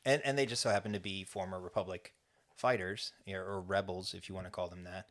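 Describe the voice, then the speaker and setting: Deep-voiced man, rambling podcast recap. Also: fairly even intonation, brisk, fairly bright tone. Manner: rambling, many restarts and fillers. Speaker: podcast co-host. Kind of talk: casual fan recap chat.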